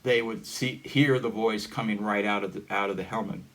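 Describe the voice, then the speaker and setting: A man giving a casual hands-on gadget demonstration in a halting, informal, step-by-step way. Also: fairly low pitch. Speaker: hobbyist demonstrator. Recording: steady background noise.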